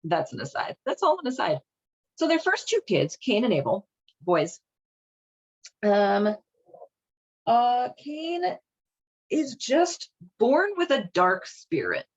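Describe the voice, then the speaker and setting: A woman's voice: a little high, big pitch swings, slow pace, pauses often, slightly rough, dull audio. A woman hosting a casual read-along and commentary, speaking in an informal, wry, irreverent way. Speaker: host reading and commenting on a children's Bible.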